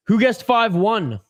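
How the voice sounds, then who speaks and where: High-pitched canadian guy hosting a hockey stream, bantering with chat, dry close sound. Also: slightly rough, dry recording. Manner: chatty, swears casually, reads viewer comments aloud. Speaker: host of a hockey watch-along stream. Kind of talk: casual live-stream chat.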